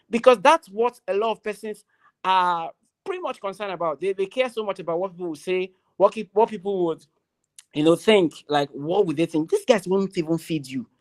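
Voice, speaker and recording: A young adult with a Nigerian accent giving a motivational speech at a graduation ceremony, emphatic and loud, repeating key phrases. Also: medium pitch.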